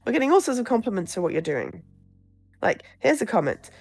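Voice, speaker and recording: A woman's voice: fairly high pitch, sing-song, brisk, gravelly, a little mellow, husky, faint music behind, exaggerated voice. A woman voicing a positive, matter-of-fact campaign aide in a visual novel, blithe and consoling.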